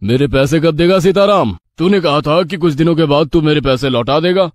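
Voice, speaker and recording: A man's voice: a little high, fairly quick, no echo, lightly acted. A man acting a cruel, bullying village moneylender in a cartoon story, threatening and commanding.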